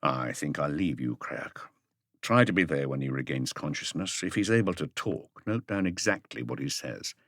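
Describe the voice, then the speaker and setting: A man voicing a calm, authoritative police chief inspector in an audiobook, courteous, measured and terse. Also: extremely low pitch, dry recording, studio quality, voice pushed down, exaggerated voice. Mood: terse, grave. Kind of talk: audiobook character voice.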